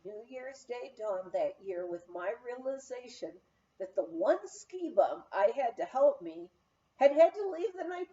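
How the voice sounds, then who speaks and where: A woman narrates a memoir in a high, lively voice that swings with the story. Her delivery is expressive and unhurried, with a soft, mellow tone. The recording is a little dull and lo-fi. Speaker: audiobook reader. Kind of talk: scripted narration of a humorous memoir.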